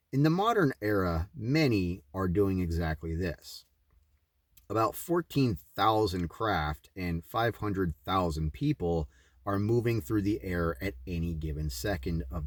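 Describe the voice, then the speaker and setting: A man with a very low voice reads a religious book aloud as scripted narration. He sits well back from the microphone, and the room adds a noticeable echo around his words. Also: clean studio sound. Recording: roomy echo, distant from the mic.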